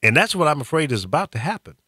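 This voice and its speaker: Man, blunt talk-radio ranter: animated delivery, dry close sound. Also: a little high, racing, husky, no echo. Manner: blunt, fiery, stammers and repeats words. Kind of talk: political rant on talk radio.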